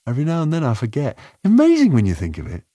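A man playing an excitable, kindly alien time traveller who rambles enthusiastically in an audiobook story. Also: on the high side, quick pace, no echo, voice pushed up. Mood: excited, rambling.

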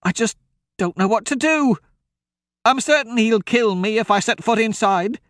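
A man voicing a frightened old farm woman who pleads in a shrill, breathless way. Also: expressive, normal speed, fairly bright tone, dry recording, clean studio sound. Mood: frightened pleading.

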